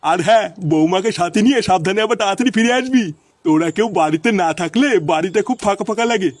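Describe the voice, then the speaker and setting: Squeaky, fast-talking cartoon grandpa. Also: male voice, expressive, no echo. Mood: fussy, fond. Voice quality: high-pitched, cartoonish. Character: grumpy, fussy old village grandfather, sharp-tongued. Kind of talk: comic cartoon dialogue.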